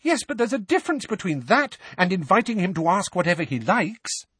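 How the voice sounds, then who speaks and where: A man's voice: sits high, big pitch swings, moderate pace, breathy edge, no echo, pitched above the performer's own voice, full character voice. A man voicing a fierce, fretful, protective middle-aged mother in an indignant, emphatic way for an audiobook. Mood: heated, cutting. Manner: indignant, emphatic, sharp-tongued.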